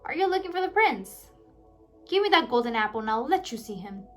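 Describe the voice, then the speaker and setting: A woman's voice: fairly high pitch, sing-song, fairly quick, faint music behind. A woman voicing a greedy, sneering female villain in a children's storybook, talking with a cackle. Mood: gloating.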